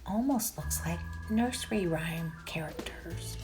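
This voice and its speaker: A woman's voice: fairly low pitch, lively intonation, measured pace, music in the background. A woman reading a children's storybook aloud expressively, with dramatic delivery and musing asides to the listeners. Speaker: children's book read-aloud narrator.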